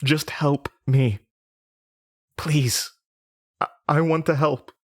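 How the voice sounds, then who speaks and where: An actor playing an anxious, haunted young man in an audio drama, speaking in a halting, nervous, stammering confessional monologue. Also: on the high side, steady tone. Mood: pleading, distraught. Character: anxious, haunted young Midwestern man recalling traumatic memories.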